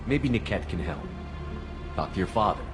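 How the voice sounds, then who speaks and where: A man playing a calm, steady commander in a video game cutscene, gently persuasive. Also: deep voice, normal speed. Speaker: game character. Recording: game audio over loud music.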